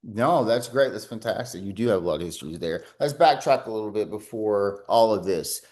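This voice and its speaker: A man's voice: fairly low pitch, expressive, brisk, a little mellow. A man hosting an interview podcast, talking loosely and chattily with plenty of fillers. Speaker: podcast host. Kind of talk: casual interview.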